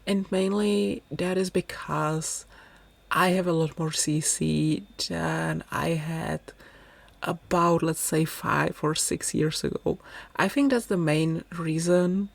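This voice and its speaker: A woman's voice: low-pitched, steady tone, takes it slowly, creaky. A young woman whose first language is Czech, chatting in a rambling, self-deprecating way as she talks over her gaming video. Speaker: gaming YouTuber. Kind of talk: casual voice-over commentary.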